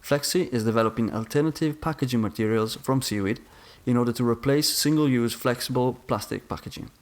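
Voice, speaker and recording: Male podcast host, mid pitch, scripted intro then questions. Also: a little fast. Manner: reads a scripted intro, then asks questions with some stumbles.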